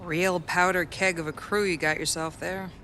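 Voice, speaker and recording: Brash, higher-pitched cynical mercenary man. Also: level tone, moderate pace, sharp tone, a little hiss or hum. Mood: bitter, sneering. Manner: brash, sneering. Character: cocky, foul-mouthed slum-born mercenary man, cynical. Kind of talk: video game cutscene acting.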